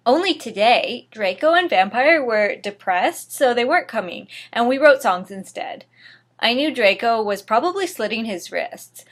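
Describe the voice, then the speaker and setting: A woman's voice: on the high side, expressive, moderate pace. A woman giving a mock-dramatic story reading, theatrical in delivery, with sarcastic asides. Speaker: reader of a comedic dramatic reading.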